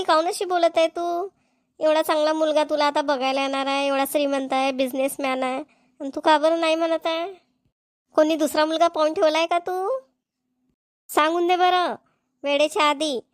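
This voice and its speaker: A woman's voice: high voice, steady tone, normal speed, long pauses, no room sound, studio quality, close to an everyday voice. A woman voicing a nagging, questioning village mother in a cartoon, with a rural Vidarbha Marathi accent. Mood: questioning, stern. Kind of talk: amateur cartoon comedy-drama dubbing.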